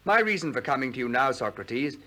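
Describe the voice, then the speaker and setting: An actor playing an eager, earnest young Athenian disciple in a 1960s radio play. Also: male voice, mid-range voice, sing-song, a little fast, bright, forceful tone. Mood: eager, pleading. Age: young adult.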